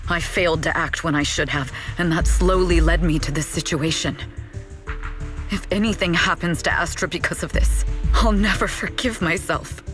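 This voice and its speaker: A woman's voice: fairly low pitch, moderate pace, fairly bright tone, natural voice. A serious female bodyguard with a secret past as a spy voiced by an actress, speaking with formal, urgent conviction. She is protective and weighed down by guilt, in dramatic video game style, with some music behind her. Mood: remorseful. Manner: earnest, urgent, formal phrasing.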